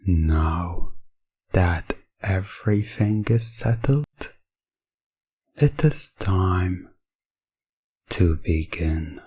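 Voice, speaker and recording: A man playing a calm, businesslike professional dominant in an ASMR hypnosis roleplay, speaking in a soft, breathy voice with measured, formal, detached delivery. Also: low voice, heavily acted. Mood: formal, composed. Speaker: audio roleplay performer.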